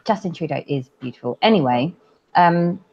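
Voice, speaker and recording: Woman, casual podcast co-host, giggly, halting with fillers, fairly low voice, muffled lo-fi sound. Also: lively intonation, a little mellow, slight background noise. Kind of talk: casual chat. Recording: dull lo-fi audio.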